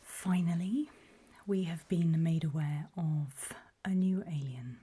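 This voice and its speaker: A woman playing a calm, faintly sinister guide in a creepy ASMR roleplay, whispering slowly and deliberately with a breathy voice. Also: low voice, exaggerated voice. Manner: slow, deliberate, coolly instructive, softly ominous. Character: calm, faintly sinister female guide briefing people on alien dangers.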